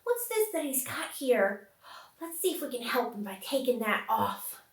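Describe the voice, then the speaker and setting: A woman hosting a puppet storytime, talking to young children in an exaggerated, sing-song way with questions and dramatic pauses. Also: a little high, big pitch swings, roomy sound.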